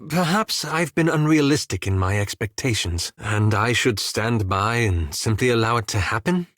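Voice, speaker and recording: A man playing a reserved, scholarly, self-doubting young elf adventurer in a video game, speaking in a formal, measured, wryly understated way. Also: fairly low pitch, expressive, a bit bright, dry recording, studio quality. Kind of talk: video game companion dialogue.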